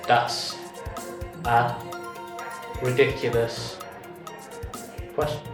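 Slow, deadpan man in a roomy space. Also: mid-range voice. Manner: deadpan, halting. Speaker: comedian guest. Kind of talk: radio quiz answers. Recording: roomy echo under loud music.

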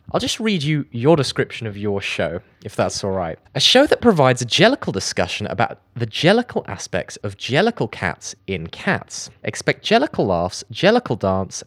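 A man's voice: on the low side, a bit bright, no room sound. A man hosting a casual radio comedy interview, dry and teasing, mock-sceptical with his questions.

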